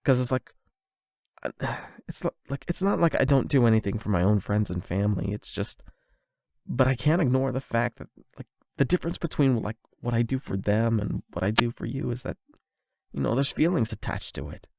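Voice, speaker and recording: A man performing an intimate confession roleplay as a nervous, lovestruck young man, speaking in a halting, self-doubting, conversational way into a close microphone. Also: mid-range voice, expressive, vocal fry, a little mellow, no room sound. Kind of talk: emotional confession roleplay, ASMR-style monologue.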